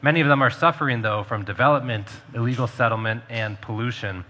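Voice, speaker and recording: Bright-voiced male panel moderator. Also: medium pitch, fast talker. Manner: fluent and articulate, with fillers. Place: conference stage.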